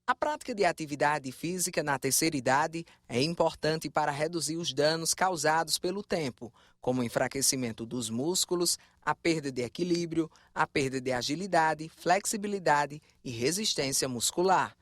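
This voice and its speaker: A man's voice: a little high, moderate pace, few pauses, a bit bright, dry recording. Male reporter, dry voice-over.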